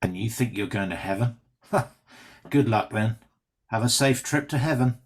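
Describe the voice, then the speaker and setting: A man's voice: lowish voice, measured pace. A man playing a callous, mocking traitor pilot with a smug, sarcastic delivery in a script read. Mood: mocking menace. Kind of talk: screenplay table read acting.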